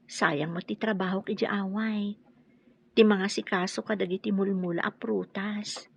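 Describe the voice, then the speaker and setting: A woman acting as a gentle, dutiful young wife in a radio drama. Her voice is notably husky at an ordinary pitch, on a slightly muffled recording. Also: steady tone, normal speed. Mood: tender. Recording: dull, lo-fi audio.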